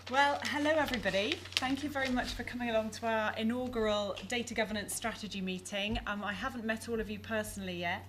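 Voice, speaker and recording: A woman speaks in an organised, formal meeting-chair manner as she plays a data protection officer for a conference role-play. Her pitch is mid-range for a woman. Faint room noise sits behind her. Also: a bit bright. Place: conference hall.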